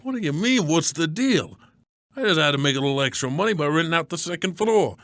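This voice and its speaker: A man's voice: fairly high pitch, expressive, fairly quick, fairly bright tone, no echo. Man playing a blustery, griping radio producer, dry sound. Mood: gruff wisecracking. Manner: wisecracking, melodramatic, folksy slangy talk. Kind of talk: scripted radio comedy skit.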